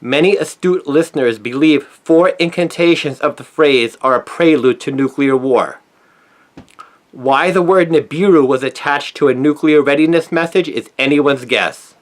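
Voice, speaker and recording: A man's voice: on the high side, moderate pace. A man narrates a news story, reading from a written script in a scripted style. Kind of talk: scripted narration, read aloud.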